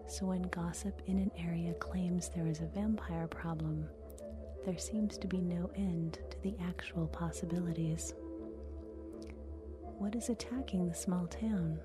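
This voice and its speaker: A woman with a soft, whispery voice reading a scripted ASMR introduction as announcer. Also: fairly low pitch, fairly even intonation, measured pace. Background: music.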